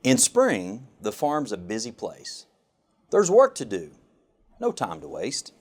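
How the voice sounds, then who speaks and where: Male storybook reader, lower-pitched, unhurried, with expressive sing-song phrasing. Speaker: government official reading a children's picture book. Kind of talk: storybook read-aloud.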